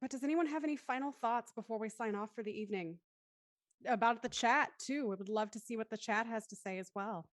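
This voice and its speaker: Woman hosting, higher-pitched, close dry sound. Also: fairly quick, dry recording. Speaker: host of an online audio drama show. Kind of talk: casual discussion and show sign-off.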